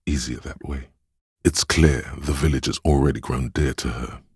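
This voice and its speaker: An actor voicing a perceptive, compassionate, brooding inner voice in a video game, with measured, hushed, deliberate narration and a gravelly, husky tone. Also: male voice, very deep voice, fairly even intonation, no echo, clean studio sound, exaggerated voice. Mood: somber, compassionate. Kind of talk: scripted second-person game narration.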